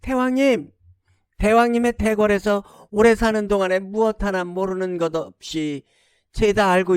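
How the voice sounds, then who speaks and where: Man putting on a high, coaxing fairy-tale princess. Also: smooth, dry recording, studio quality. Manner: deferential, wheedling, honorific speech. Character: polite, coaxing, crafty young princess.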